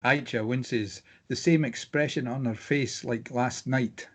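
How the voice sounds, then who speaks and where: A man reading screenplay stage directions aloud as scripted narration, with a slightly husky voice. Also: medium pitch, steady tone, measured pace, a bit bright.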